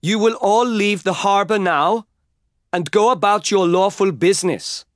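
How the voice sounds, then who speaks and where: Man playing a calm young customs officer, formal and measured, pitched up higher, dry close sound. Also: no echo. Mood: firm. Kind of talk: audiobook character voice.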